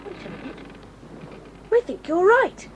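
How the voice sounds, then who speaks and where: A woman playing a bold, practical tomboy girl, brisk and matter-of-fact in a 1980s audio drama. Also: very high-pitched, very animated, exaggerated voice. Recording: faint hiss of old cassette.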